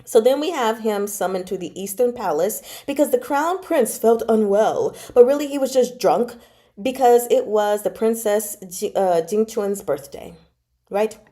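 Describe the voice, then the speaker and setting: A woman chatting casually as host, retelling a novel to viewers in an animated, giggly, slangy way and acting out reactions. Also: a little high, moderate pace. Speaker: book club host and storyteller.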